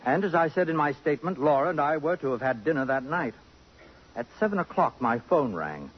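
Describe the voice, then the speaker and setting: Smooth-spoken, sardonic society snob, muffled radio. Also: male voice, a little high, lively intonation, fairly quick. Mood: somber. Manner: arch, precise, pompous diction. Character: suave, sardonic, possessive society man recounting his story. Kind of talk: 1950s radio drama acting. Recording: muffled vintage radio broadcast.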